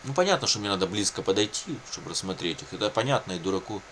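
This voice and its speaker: A man's voice: lowish voice, lively intonation, very fast, a bit bright, a little hiss or hum. A man talking casually and unscripted to his viewers, circling back over his points in a repetitive, colloquial way. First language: Russian. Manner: rambling, repetitive, with frequent colloquial catchphrases. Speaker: video blogger telling his own situation.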